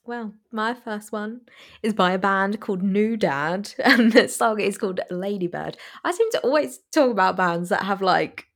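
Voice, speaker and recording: A woman co-hosts a relaxed music podcast. She talks in a chatty, eager way and laughs often. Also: mid-range voice, normal speed. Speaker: podcast co-host. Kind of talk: casual music review chat.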